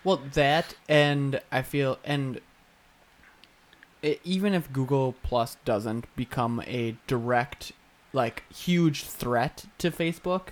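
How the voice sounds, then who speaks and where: A young man in an easygoing tech chat, pushing back with dry skepticism and light teasing. His voice sits at an ordinary middle pitch with a plain, even tone. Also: slow. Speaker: podcast co-host.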